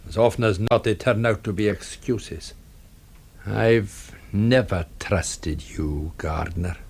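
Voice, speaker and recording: An actor playing a suspicious police inspector in a radio drama, questioning in a curt, accusing, distrustful manner. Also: male voice, on the low side, slow, a little mellow, breathy edge, full character voice.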